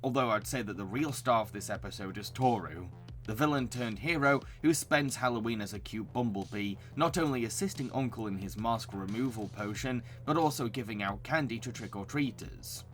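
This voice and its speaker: A man's voice: mid-range voice, normal speed, faint music behind. A man reading scripted narration as a reviewer presenting cartoons. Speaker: video essayist reviewing cartoons.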